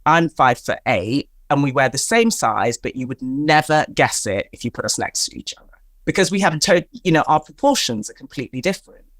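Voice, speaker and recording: A woman's voice: very deep voice, expressive, brisk, dry recording. Talkative woman, dry sound. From Tanzania. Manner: emphatic, talkative, explains with examples. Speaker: guest, fashion stylist. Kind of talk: conversational interview.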